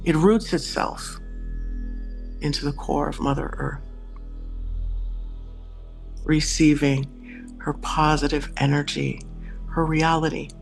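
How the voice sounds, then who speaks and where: A man leading a guided meditation in a soothing, instructive manner with long pauses. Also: a little high, steady tone, vocal fry. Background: music.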